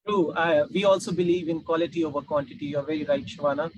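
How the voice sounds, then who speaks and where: A man with a husky voice hosting a panel discussion as moderator. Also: on the high side, fast, muffled recording.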